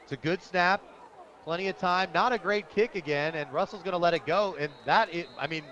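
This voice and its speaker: High-voiced American man calling football, lo-fi radio. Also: fairly quick, a bit bright, a little hiss or hum. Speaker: radio play-by-play announcer. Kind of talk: live American football play-by-play commentary. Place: outdoors at a football stadium. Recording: dull lo-fi outdoor radio broadcast.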